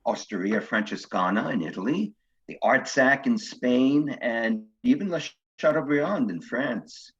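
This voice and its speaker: Actor as refined, awkward older widower in stage comedy; mid-range voice, hesitant and earnest, slightly dull recording. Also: male voice, medium pitch, lively intonation, unhurried, a bit bright. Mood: polite, hesitant. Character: nervous, cultured older widower on a virtual date. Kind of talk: one-act stage comedy acting. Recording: dull, lo-fi sound.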